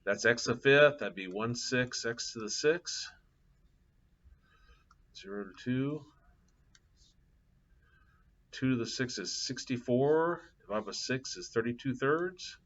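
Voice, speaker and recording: A man's voice: mid-range voice, lively intonation, slow pace, long pauses, a bit bright. Casual chatty male math teacher, dull recording. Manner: casual, chatty, thinking aloud with fillers. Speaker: math instructor. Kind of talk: live class lecture with anecdotes. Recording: dull lo-fi classroom capture.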